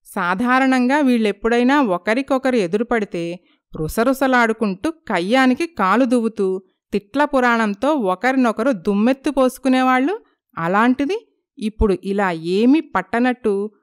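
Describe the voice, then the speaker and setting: A woman voicing a sly, spiteful village gossip who schemes and eggs others on in an animated moral story. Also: fairly high pitch, normal speed, clean tone, natural voice. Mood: scheming, puzzled.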